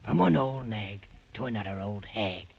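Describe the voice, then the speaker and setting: A man acting a boisterous, wisecracking old horse sidekick with a gravelly voice in a 1930s comic radio play for children. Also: on the low side, expressive, moderate pace, heavily acted. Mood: gleeful. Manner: brash, vaudeville catchphrases and exclamations. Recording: muffled, very noisy 1930s radio recording.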